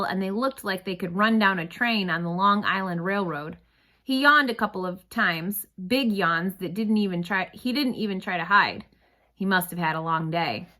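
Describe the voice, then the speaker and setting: Bright-voiced woman reading a story aloud. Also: medium pitch. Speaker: read-aloud narrator. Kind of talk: storybook narration read aloud.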